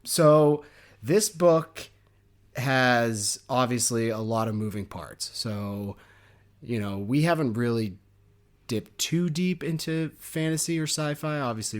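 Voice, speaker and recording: A young man co-hosting a book podcast, chatting casually and jokily, laughing often. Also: fairly low pitch. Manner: jokey and animated, laughs often. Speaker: podcast co-host.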